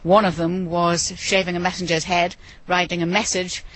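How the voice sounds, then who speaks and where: A woman giving a measured, explanatory interview as an expert on history. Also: on the low side, level tone, fairly bright tone, no echo. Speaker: museum trust director being interviewed.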